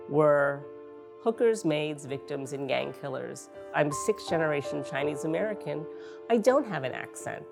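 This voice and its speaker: A woman's voice: low-pitched, creaky. A middle-aged woman interviewed on a lapel mic, speaking earnestly and emphatically.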